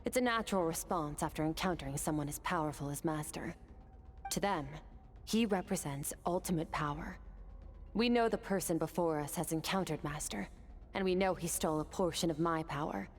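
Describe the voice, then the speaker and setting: A woman voicing a composed, powerful swordswoman who speaks in a calm, measured way. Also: low voice. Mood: explaining. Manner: calm, measured, matter-of-fact. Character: cool, powerful female swordswoman, stern and composed. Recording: game audio with soft music behind.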